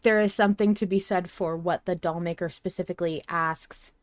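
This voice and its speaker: A woman's voice: lowish voice, fairly even intonation, brisk, no echo. Chatty woman podcast host, close dry sound. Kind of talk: casual fan podcast discussion.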